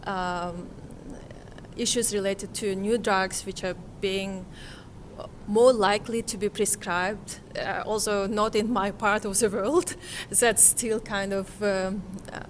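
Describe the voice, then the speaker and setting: A woman speaks slowly in an interview. She is reflective and hesitant, pausing to find her words. A faint noise of the room sits behind her. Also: medium pitch, fairly even intonation. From Kazakhstan, Central Asia. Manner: hesitant, halting, searching for words. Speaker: interviewee, conference participant.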